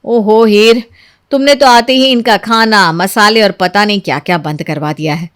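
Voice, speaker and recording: A woman's voice: medium pitch, moderate pace, close to an everyday voice. A woman storyteller voicing a jealous, argumentative young housewife who complains and mocks her in-law. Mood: scolding, boastful. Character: jealous, sarcastic elder daughter-in-law, young adult housewife.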